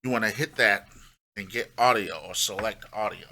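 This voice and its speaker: Bright-voiced man teaching music gear. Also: on the low side, moderate pace, slight background noise. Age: middle-aged. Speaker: music production tutorial host. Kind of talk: casual step-by-step gear tutorial.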